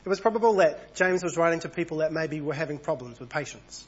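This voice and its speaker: A man over thirty-five, husky from a cold, preaching an evening church sermon in a conversational way and reading passages aloud. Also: a little high, quick pace, muffled recording. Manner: conversational, reads passages aloud, small asides.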